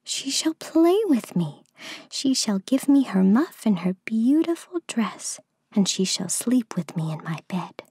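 A woman's voice: on the high side, very animated, measured pace, mellow, husky, no echo, full character voice. A woman voicing a bossy, possessive little robber girl in a storybook, commanding and emphatic. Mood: bossy, demanding. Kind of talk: storybook character voice.

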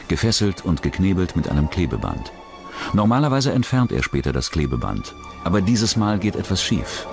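A male narrator with a very deep voice reads a scripted true-crime documentary. Clearly audible music runs behind him. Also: sing-song, moderate pace.

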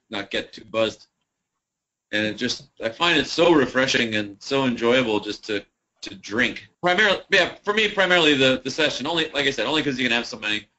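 A man from a brewery, talking about beer in a relaxed, joking way as a podcast guest. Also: dull audio. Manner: relaxed, joking, swings up in pitch. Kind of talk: casual interview chat.

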